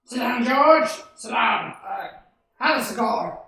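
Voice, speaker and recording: Radio drama villain: wily old male banker, played by a man, sharp, blustering and smug in tone, distant and very reverberant stage sound. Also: extremely high pitch, lively intonation, slow, gravelly, heavily acted. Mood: smug, coaxing. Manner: condescending, wheedling, blustering. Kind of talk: 1940s radio play acting. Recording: distant, very echoey stage hall.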